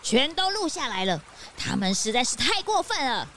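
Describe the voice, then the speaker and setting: A woman voicing an eager, excitable young sidekick in a children's audio drama. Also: high voice, normal speed, a little hiss or hum, full character voice. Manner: eager, indignant. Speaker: detective's assistant.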